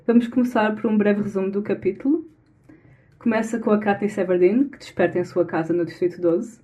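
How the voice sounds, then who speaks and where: A woman's voice: medium pitch, mellow. A woman from Lisbon speaks with a European Portuguese accent as a podcast co-host. She comes across as modest and easygoing. Her voice comes through a thin, phone-like line. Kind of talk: casual podcast chat, summarizing a chapter.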